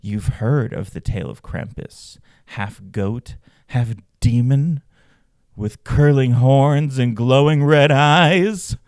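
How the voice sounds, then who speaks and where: A man hosting a podcast, reading scripted narration and stories in a measured, dramatic way. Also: mid-range voice, darkish tone, clean studio sound. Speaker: podcast host and storyteller. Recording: roomy echo, faint music behind. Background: faint background music.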